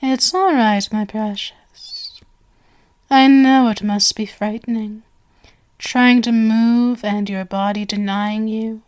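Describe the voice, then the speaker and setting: A woman's voice: fairly high pitch, slow, darkish tone. A woman playing a genial but sinister stage magician, possessive and doting, with flowery, coaxing endearments in an ASMR roleplay. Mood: soothing, sinister.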